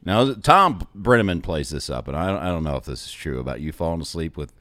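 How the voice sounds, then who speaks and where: Male podcast host, low and expressive, teasing and laughing; dry booth sound. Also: dry recording. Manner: teasing, laughing, halting with fillers. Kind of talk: casual interview.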